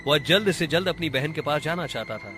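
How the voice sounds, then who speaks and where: A man's voice: fairly high pitch, animated, fairly quick. A man narrating a cartoon horror story as a scripted storyteller. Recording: music behind the voice.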